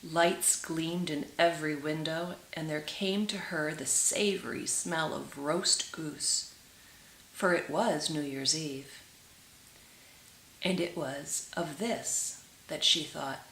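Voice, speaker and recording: A woman reading a storybook aloud for children as a storyteller, with dramatic, drawn-out emphasis. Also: low voice, steady tone, fairly bright tone. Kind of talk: expressive storybook read-aloud for children, with brief asides. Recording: roomy echo with clear hiss.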